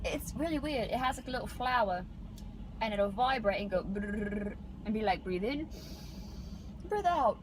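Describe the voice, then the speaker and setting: A woman's voice: mid-range voice, animated, moderate pace, pauses often. A young woman sitting in the driver's seat, chatting playfully and poking fun at herself, recorded by a camera on the dashboard. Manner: playful, self-mocking, laughs easily. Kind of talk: casual chat. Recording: noisy car interior.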